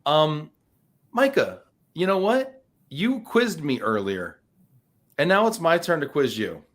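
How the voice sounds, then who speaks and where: A man's voice: on the high side, very animated, slow. A man hosting a live-streamed interview, playful and teasing, reading out viewer comments with frequent restarts. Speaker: show host.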